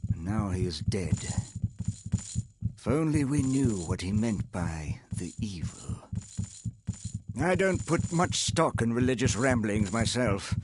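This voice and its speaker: Game role: wealthy, courteous older male industrialist, husky mid-pitched voice, formal and wistful, proud yet haunted. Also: no room sound. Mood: earnest, businesslike. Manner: formal, articulate, wistful storytelling. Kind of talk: scripted video game dialogue.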